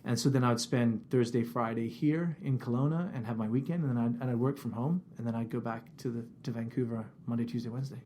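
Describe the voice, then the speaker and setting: A man from England with a Canadian accent, a guest talking about his life in a relaxed interview with many fillers. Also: mid-range voice, quick pace, hardly any pauses. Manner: reflective, many fillers and restarts. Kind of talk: casual interview. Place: office.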